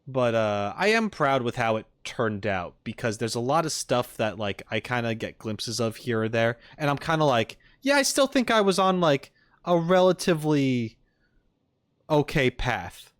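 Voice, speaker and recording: A man streaming casually as he reacts to game videos, rambling and joking in an animated way. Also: medium pitch, normal speed, clear, resonant tone, no room sound, clean studio sound. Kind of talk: casual livestream chat.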